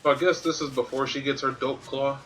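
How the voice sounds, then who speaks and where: A man's voice: medium pitch, steady tone, brisk, a bit bright, low-quality recording. A man streaming a video game, giving informal commentary and thinking aloud as he plays. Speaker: gaming streamer. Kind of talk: casual Let's Play commentary, sometimes reading game text.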